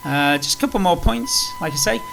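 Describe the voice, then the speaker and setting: Male sim presenter, fairly high-pitched, casual and unscripted, rambling walkthrough delivery over noticeable humming noise. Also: sing-song, brisk. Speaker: flight simulator video presenter. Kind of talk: casual tutorial commentary while playing. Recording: clearly noisy with background hum.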